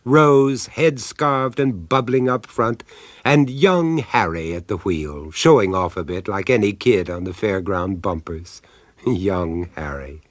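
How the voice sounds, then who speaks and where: A man's voice: lowish voice, no echo. A man with a British accent narrating a radio drama in a wry storytelling manner. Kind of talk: scripted narration.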